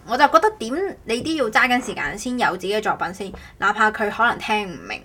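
A woman's voice: medium pitch, animated, quick pace, sharp tone, husky. A woman with a Cantonese accent hosting a podcast in casual chat, rambling a little and rephrasing herself as she goes. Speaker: podcast host.

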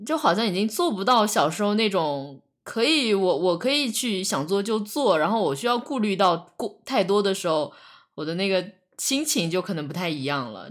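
A young adult woman chatting casually on a podcast in a halting, musing manner. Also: on the low side. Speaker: podcast speaker.

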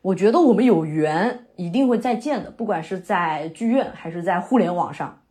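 A woman's voice: lowish voice, animated, moderate pace, dark tone, lightly acted. A woman acting a young, sentimental, theatre-loving new graduate in a naturalistic audio drama, speaking in a hesitant, conversational way. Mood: warm, reflective. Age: young adult. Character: young woman fresh out of university, wandering, sentimental theatre lover.

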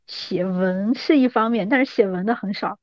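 A woman's voice: mid-range voice, moderate pace, a little mellow, no echo, highs cut off. A woman in her thirties hosting a casual podcast chat in an agreeable, conversational way. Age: 30s. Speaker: podcast host.

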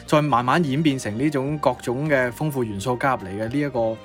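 A man's voice: mid-range voice, fairly quick, soft background music. A man with a Cantonese accent chatting casually as a podcast co-host, responsive and readily impressed. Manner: responsive, exclaiming in admiration. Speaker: radio co-host.

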